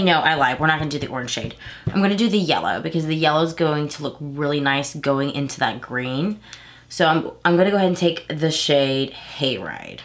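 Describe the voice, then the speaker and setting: A woman's voice: deep voice, fairly bright tone. A woman in her thirties from Texas with a slightly nasal, stuffy voice, chatting casually through a makeup tutorial and correcting herself as she goes. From Houston, Texas. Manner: chatty, rambling, self-correcting. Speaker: beauty vlogger. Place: small room at home, indoors.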